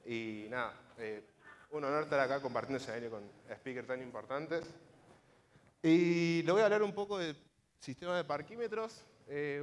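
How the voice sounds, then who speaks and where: A man's voice: mid-range voice, slight background noise. A man in his twenties with an Argentine Spanish accent, giving an informal conference presentation in a casual, colloquial storytelling manner. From Córdoba province, Argentina. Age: 20s. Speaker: conference speaker, software engineer. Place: large auditorium stage, indoors. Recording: reverberant hall sound from a stage.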